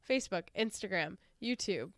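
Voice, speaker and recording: A woman co-hosting a comedy podcast, her voice nasal from a sinus infection but still smooth and clear. She talks loosely, laughs often and swears casually. The recording is dry and close. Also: medium pitch, animated, normal speed, dry recording. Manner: chatty, laughing, swears freely. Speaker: podcast co-host.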